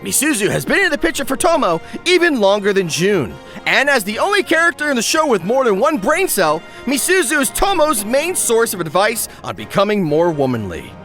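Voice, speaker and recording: A man's voice: high-pitched, huge pitch swings, a little fast, hardly any pauses, fairly bright tone, soft background music. A man narrating a scripted comedic anime review in a wry, exaggerated, joking way. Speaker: video essay narrator.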